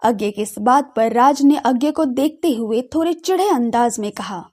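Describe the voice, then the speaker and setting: A woman's voice: a little high, expressive, normal speed, a little mellow. A woman narrating a scripted audio drama story as a radio storyteller.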